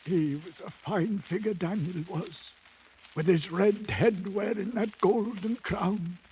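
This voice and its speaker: An actor playing a loyal British ex-soldier, halting and folksy, in a 1950s radio drama. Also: male voice, high voice, warm tone. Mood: dazed, wistful. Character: dazed, loyal British ex-soldier recalling his lost comrade. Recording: dull, faintly noisy 1950s radio recording.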